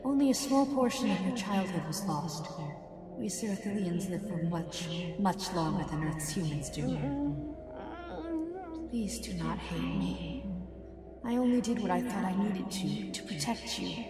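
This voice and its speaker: A gentle, long-lived alien mother acted out by the narrator speaks with tender, solemn reassurance. Her medium-pitched voice echoes heavily over loud music in an amateur audio play. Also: measured pace, natural voice. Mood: soothing, pleading. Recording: echoing voice under loud music.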